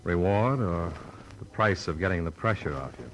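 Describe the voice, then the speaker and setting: An actor playing a skeptical, hard-boiled insurance investigator in an old radio noir drama, speaking in a dry, blunt, sardonic way. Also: male voice, sits low, expressive, moderate pace, rough recording. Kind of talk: 1950s radio noir acting and narration. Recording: old radio recording with background music.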